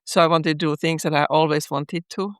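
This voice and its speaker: A middle-aged woman from Finland with a Finnish accent, a guest poet talking in an unhurried way with pauses as she searches for words. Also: sits low, steady tone, smooth, dry recording, clean studio sound. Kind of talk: podcast interview.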